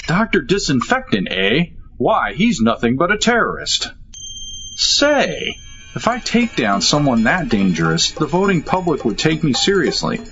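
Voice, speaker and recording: Casting: male actor for a petulant would-be-leader superhero, melodramatic, hugely animated, muffled track with music. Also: a little high, unhurried, exaggerated voice. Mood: scheming. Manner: pompous, melodramatic. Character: vain, petulant male superhero with presidential ambitions. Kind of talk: audio comedy acting. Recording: dull lo-fi, music behind.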